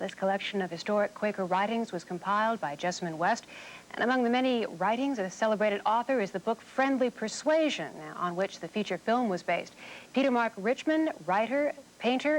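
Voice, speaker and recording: A woman's voice: medium pitch, low-quality recording. Woman, television host, interview questions and introductions, faint noise behind. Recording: faint hum and background noise.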